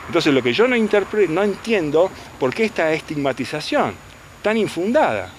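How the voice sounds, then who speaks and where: Older man, defense lawyer, lively insistent delivery, press scrum mics, street noise behind. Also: fairly high pitch, moderate pace. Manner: insistent, rhetorical questions. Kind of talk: press interview. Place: outdoors by a detention unit. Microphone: handheld reporter mics.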